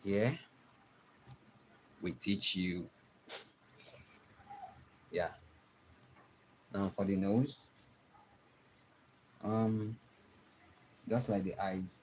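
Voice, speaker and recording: A young man with a Nigerian accent, giving a live drawing tutorial and talking softly as he works. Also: low voice, steady tone, a little hiss or hum, rough recording. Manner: slow, soft-spoken, trailing off while drawing. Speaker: art teacher streaming live.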